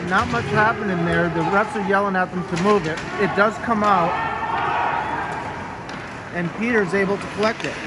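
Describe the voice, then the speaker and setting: Hoarse man calling hockey play-by-play. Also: high voice, heavy background noise. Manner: brisk, matter-of-fact calling with restarts. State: hoarse with a cold. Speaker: play-by-play commentator.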